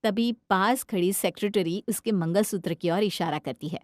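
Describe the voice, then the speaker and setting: A woman's voice: mid-range voice, normal speed, no room sound, clean studio sound. A woman storyteller giving dramatic narration for a cartoon story.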